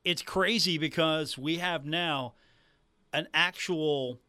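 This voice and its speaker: A man's voice: fairly high pitch, slow, bright, forceful tone, smooth, dry recording. A man hosting a sports talk radio show in a conversational way, speaking on a studio microphone. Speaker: sports radio host and producer. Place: radio station producer's booth. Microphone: studio broadcast microphone.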